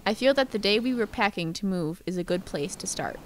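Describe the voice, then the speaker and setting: Woman acting a reflective narrator, medium pitch. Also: expressive, brisk, slight background noise, close to an everyday voice. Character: reflective grown woman recalling haunted childhood. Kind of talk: scripted radio play narration.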